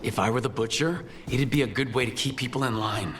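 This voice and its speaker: An actor playing an alert, decisive adventurer who leads his companions in a video game, brisk and determined. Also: medium pitch, a bit bright, slight background noise, lightly acted. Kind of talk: dramatic video game acting.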